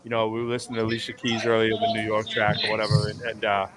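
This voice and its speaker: A man's voice: on the low side, completely flat delivery, racing, a loud crowd. A man hosting a live stream show, talking casually and telling long rambling stories with lots of fillers and restarts. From United States East Coast. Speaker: show host. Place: hotel area in New York City.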